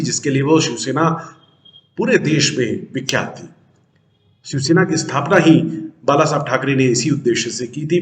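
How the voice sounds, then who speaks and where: A man hosting a news show, giving emphatic political commentary to viewers and posing rhetorical questions that he answers himself. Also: medium pitch. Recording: roomy, echoing sound.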